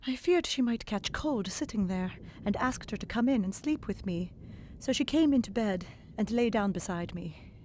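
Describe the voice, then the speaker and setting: A woman narrating a gothic novel in the first person, expressive and dramatic, dropping to whispers in the hushed passages. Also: medium pitch, moderate pace, few pauses, a little hiss or hum.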